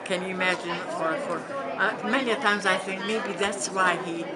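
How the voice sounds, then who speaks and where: Older woman, Hungarian accent, medium pitch, conversational personal testimony, recorded in a large room with loud crowd sound. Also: steady tone, moderate pace. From Transylvania, Romania. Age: older, 70s or 80s. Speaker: Holocaust survivor giving testimony. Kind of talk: spoken personal recollection to an audience. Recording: large room with audience murmur.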